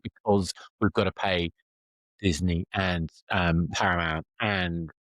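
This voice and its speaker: A man's voice: low-pitched, steady tone, slow pace, a bit bright, dry recording. A man in a podcast conversation, musing aloud and working through his ideas as he goes. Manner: musing, discursive.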